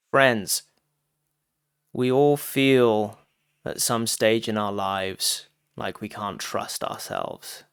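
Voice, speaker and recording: A man giving a guided meditation talk as a teacher, phrasing deliberately with frequent pauses and addressing listeners directly. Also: on the low side, gravelly, clear, resonant tone, no room sound, studio quality.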